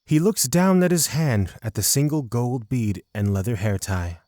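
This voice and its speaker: A man's voice: mid-range voice, moderate pace, a little mellow, no room sound. Male narrator for fantasy audio drama: mid-range, dry studio-style sound, scripted storytelling.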